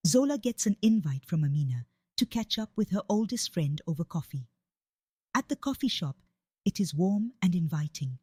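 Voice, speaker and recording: A woman's voice: fairly low pitch, warm tone, husky, no room sound, studio quality. A woman reading a scripted dramatic story as a storyteller.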